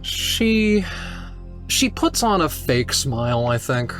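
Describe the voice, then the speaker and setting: A man's voice: mid-range voice, sing-song, takes it slowly, fairly bright tone, soft background music. A man playing a tabletop roleplaying game, narrating his character's actions and thoughts.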